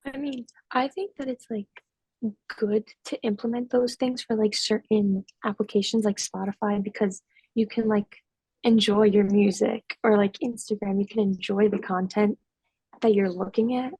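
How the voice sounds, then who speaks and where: A woman's voice: mid-range voice, fairly even intonation, moderate pace, mellow, slightly rough, dry recording, rough recording. An eighteen-year-old woman talking casually in a student podcast discussion, with lots of "like" fillers. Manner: chatty, many "like" fillers.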